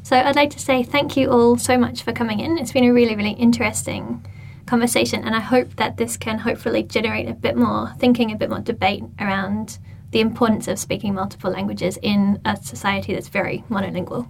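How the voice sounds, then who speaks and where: Gravelly-voiced woman hosting a panel. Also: fairly high pitch, fairly even intonation, fairly quick, hardly any pauses, a little hiss or hum. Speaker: radio host.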